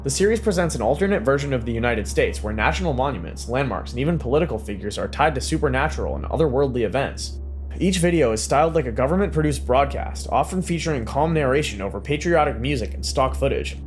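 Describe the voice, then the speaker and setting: A man reading scripted explainer narration in a measured, even documentary manner. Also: mid-range voice, soft background music.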